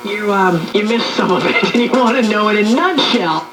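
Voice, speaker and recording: A man's voice: high-pitched, animated, moderate pace, distant mic, crowd noise. A man presenting chatty broadcast talk, heard through an old radio. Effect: played through an old radio. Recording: echoey, humming radio heard from afar.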